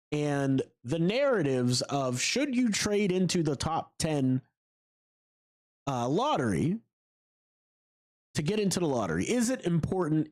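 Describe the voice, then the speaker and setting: A man with a stuffy, nasal voice from a cold talks through a solo podcast. His pitch sits a bit high and swings widely as he tosses out rhetorical questions. He fills pauses with uh and um. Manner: animated, rhetorical questions, frequent uh and um. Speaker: podcast host. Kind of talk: solo sports podcast monologue.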